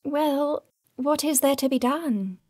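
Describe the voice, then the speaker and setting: A woman's voice: high voice, takes it slowly, dark tone, dry recording, clean studio sound. An actress playing a narrator in an audio drama, a woman who prods and challenges with pointed interjections. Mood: challenging.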